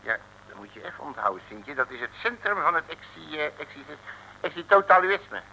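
A man's voice: on the high side, big pitch swings, brisk, bright, forceful tone, full character voice, low-quality recording. An actor playing a cheeky, blustering, streetwise male detective in an old comic radio serial, slangy and jokey. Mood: chatty, puzzled. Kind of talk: 1950s-60s comic radio serial acting. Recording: hissy, thin old radio recording.

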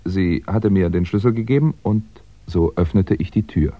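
A man's voice: deep voice, normal speed. An actor playing a wry, courteous male crime writer who narrates and acts in a 1960s German radio thriller. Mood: wry narration. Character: male crime-writer amateur sleuth, wry, easily flustered. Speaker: first-person narrator and lead character. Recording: dull, band-limited 1960s radio recording.

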